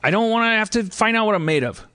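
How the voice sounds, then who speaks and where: An American man hosting a comedic panel chat, rambling and halting, self-deprecating and sweary. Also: high-pitched, animated, sharp tone, smooth, soft background music. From Wisconsin, United States. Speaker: podcast host.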